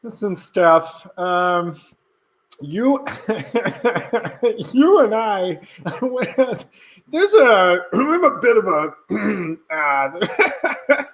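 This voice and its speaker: A woman's voice: medium pitch, very animated, full character voice. A woman playing a sheepish, evasive husband who halts and stammers in an improvised comedy scene. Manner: halting, hesitant, throat-clearing.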